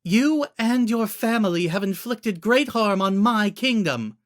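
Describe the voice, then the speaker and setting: A man's voice: sits high, expressive, a little slow, no room sound, clean studio sound, voice pushed up. A man voicing a stern, indignant queen who speaks formally and imperiously in a fantasy audiobook reading. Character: regal, stern young queen, indignant, authoritative.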